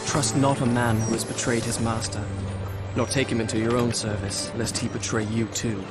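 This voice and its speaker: An actor playing a proud young Persian prince, telling his tale in formal, solemn, measured narration for a video game. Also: medium pitch, level tone, lightly acted. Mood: rueful, solemn. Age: young adult. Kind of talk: dramatic scripted narration. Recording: loud music behind. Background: loud game score music.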